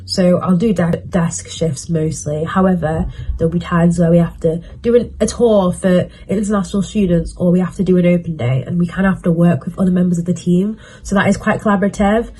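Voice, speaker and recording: A woman's voice: on the low side, steady tone, fairly quick, dark tone, slightly rough, low-quality recording. A young adult woman, a university student, giving casual career advice in a chatty, self-assured way with plenty of fillers.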